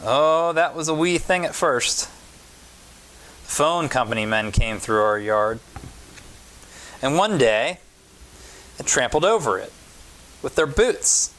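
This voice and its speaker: Storybook role: kind older neighbor woman, a patient gardener, slow-spoken played by a male reader. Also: medium pitch, animated, fairly bright tone. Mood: reminiscing. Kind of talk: storybook character dialogue.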